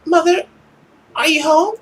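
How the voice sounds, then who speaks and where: A man voicing a shy young village girl, soft and hesitant, in a visual novel. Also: very high-pitched, a little slow, pitched above the performer's own voice. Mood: meek, tentative.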